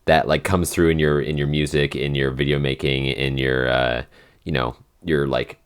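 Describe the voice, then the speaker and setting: A man's voice: very deep voice, flat delivery, moderate pace, clean tone. A man chatting casually as a podcast writer in a behind-the-scenes Q&A, often searching for his words.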